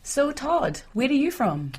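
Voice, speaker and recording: A woman's voice: high-pitched, big pitch swings, measured pace. A woman interviewer asking simple, short questions for an English listening lesson.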